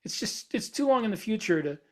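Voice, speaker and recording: Higher-voiced man in casual podcast talk. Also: very animated, fast, fairly bright tone. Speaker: podcast co-host.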